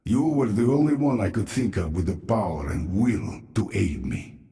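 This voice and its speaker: An actor playing a grim, vengeful infested man who speaks in a measured, grave way. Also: lowish voice, expressive. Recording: echoey, over loud music.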